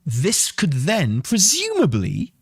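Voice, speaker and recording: A man hosting a gaming channel, giving excitable, sweary off-the-cuff reaction commentary as he thinks aloud. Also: on the high side, slow, breathy edge, no echo, clean studio sound. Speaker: host of a gaming news channel.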